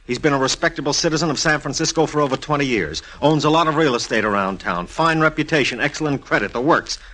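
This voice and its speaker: Sharp, hot-tempered radio cop. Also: male voice, a little high, fast. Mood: angry. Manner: barking, sarcastic, slangy. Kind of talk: 1940s radio detective acting. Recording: noisy old radio recording.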